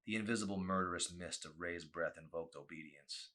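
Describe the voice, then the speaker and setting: A man's voice: sits low, brisk, bright, forceful tone. A man reading a novel aloud as a dramatic audiobook, with an intense, theatrical delivery.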